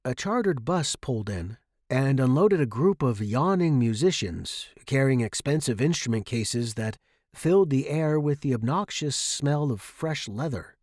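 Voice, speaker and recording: A man's voice: mid-range voice, expressive, unhurried, a little mellow, dry recording, studio quality. A man reading a first-person short story dramatically, as its narrator.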